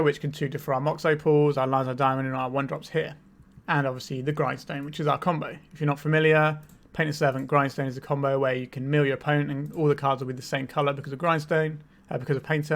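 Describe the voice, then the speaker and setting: A man with a slightly higher than usual voice talks through a card game in a relaxed, explanatory way, thinking aloud as he goes. He has a cold and sounds a little tired. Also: quick pace, hardly any pauses. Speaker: channel host, card game player. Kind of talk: casual gameplay commentary.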